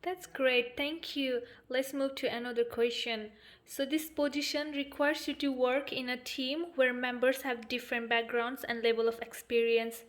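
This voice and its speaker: High-voiced polite woman interviewer. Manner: polite, formal, reads questions steadily. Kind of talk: mock job interview questions.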